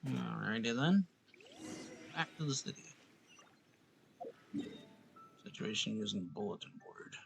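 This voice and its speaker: A man's voice: lowish voice, expressive, moderate pace, gravelly, background noise. A man giving casual, laid-back commentary as he plays a story game, musing aloud on it. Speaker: game streamer reacting to story.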